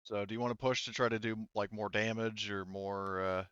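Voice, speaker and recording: A man's voice: lowish voice, fairly even intonation, brisk, fairly bright tone, clean tone, no echo, dull audio. A man acting as game master, talking through rules and narrating a tabletop game casually, often thinking aloud.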